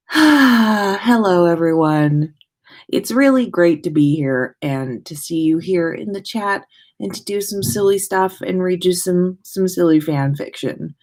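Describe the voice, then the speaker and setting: Slow-paced, tired-sounding American woman. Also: on the low side, darkish tone. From United States. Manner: informal, rambling, playful with sing-song asides. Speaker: podcast host livestreaming. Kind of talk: casual livestream chat. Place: own room at home.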